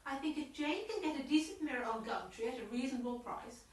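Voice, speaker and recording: A prim, officious female committee chair , played by a woman, high and lively in pitch, with pointed delivery for a radio comedy. The recording is very echoey and distant. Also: fast talker. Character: prim, bossy woman chairing a tennis club meeting. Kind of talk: amateur radio play comedy acting.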